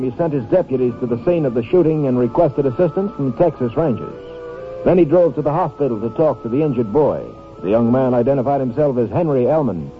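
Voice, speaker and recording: Casting: male American announcer for vintage crime radio, brisk scripted read, faint music, tinny sound. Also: mid-range voice. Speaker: announcer-narrator. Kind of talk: scripted old-time radio narration.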